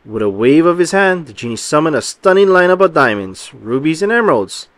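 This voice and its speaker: A man reading a children's storybook aloud in an expressive, emphatic storytelling manner. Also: fairly high pitch, fairly quick, no echo. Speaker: children's book read-aloud narrator. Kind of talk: expressive scripted storybook narration.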